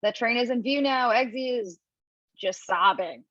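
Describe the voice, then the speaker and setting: A woman reads stage directions aloud for a table read, briskly and with a fairly high voice. Her mic sounds dry and a little dull, like a home setup on a video call. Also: fairly bright tone, dry recording. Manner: brisk, laughs at her own slips. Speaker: narrator reading stage directions.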